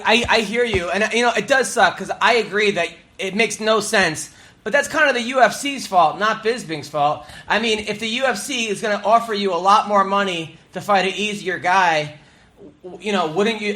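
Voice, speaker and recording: A man's voice: high-pitched, sharp tone. A man hosting a casual fight-sports talk show in a studio, laid-back and chatty, swearing casually. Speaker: show host.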